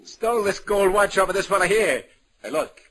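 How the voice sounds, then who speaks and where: An actor playing a weary, wry New York police detective in 1940s radio style, sardonic and slangy. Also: male voice, high voice, animated, moderate pace, fairly bright tone, slight background noise. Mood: wry, tough. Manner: hard-boiled, sardonic, slangy. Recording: old radio broadcast with music.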